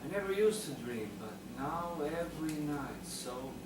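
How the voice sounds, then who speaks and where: A man playing a haunted, bedridden man in a halting, wistful theatrical monologue about his inner life. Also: a little high, lively intonation, natural voice. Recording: roomy, distant mic, clear background noise.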